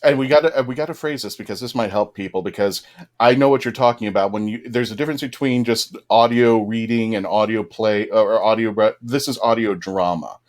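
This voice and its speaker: A man's voice: lowish voice, fairly quick. A man hosting a casual fan panel, explaining things emphatically and joking with the guests. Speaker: panel host.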